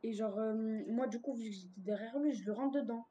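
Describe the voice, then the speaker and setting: A woman's voice: on the high side, a little fast, mellow, dull audio. A woman gamer recounting an incident casually in slangy, hesitant speech. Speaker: player in a roleplay game. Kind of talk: casual storytelling in game voice chat. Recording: background noise on game voice chat.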